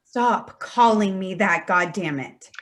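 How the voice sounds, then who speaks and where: A woman's voice: medium pitch, expressive, slow pace. A woman acting in a staged play reading as a hurt adult daughter, insistent and accusatory. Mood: hurt, angry. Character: Danielle, wounded, accusing adult daughter confronting betrayal.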